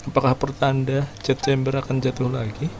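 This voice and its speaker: A woman's voice: very deep voice, completely flat delivery, fast. A woman with an Indonesian accent giving casual, slangy commentary on a game she is playing. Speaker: gaming streamer.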